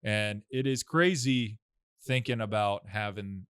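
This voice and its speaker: एक गहरी आवाज़ वाला आदमी, तीस के दशक में, पॉडकास्ट पर आराम से बातें करता हुआ और बीच-बीच में भराव शब्द बोलता हुआ।. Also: male voice, takes it slowly, smooth, no echo, studio quality. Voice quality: deep voice. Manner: relaxed, rambling storytelling with many fillers. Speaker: podcast co-host. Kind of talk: casual chat.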